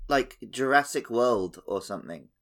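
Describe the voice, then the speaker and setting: A man's voice: medium pitch, lively intonation, moderate pace, fairly bright tone. A man co-hosting a podcast, bantering casually and jokingly about a video game. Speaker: podcast co-host.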